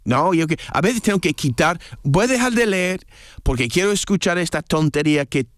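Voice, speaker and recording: A man's voice: a little high, expressive, normal speed, no room sound, clean studio sound. A native English-speaking man, a guest on a radio show, chatting in a casual way, hesitant and searching for words but enthusiastic.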